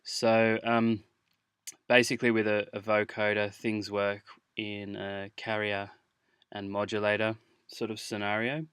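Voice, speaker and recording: A man teaching music software in an informal tutorial, hesitant as he thinks aloud while demonstrating. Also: on the low side, flat delivery, measured pace, no echo, studio quality. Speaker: music production instructor. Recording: clear background noise, mouse clicks.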